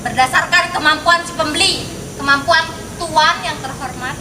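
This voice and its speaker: A woman's voice: sits high, moderate pace, close to an everyday voice. A young woman playing a flustered, indignant married lady in a stage drama, exclaiming theatrically. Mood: haggling, affronted. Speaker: student stage actor. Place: outdoor car park stage. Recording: distant echoey stage recording with loud background noise.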